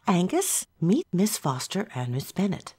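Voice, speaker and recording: A woman playing a kindly, hospitable country mother in an amateur audio drama, gentle and placating, with a husky voice. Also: fairly low pitch, huge pitch swings, a little slow, heavily acted. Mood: cheerfully hospitable.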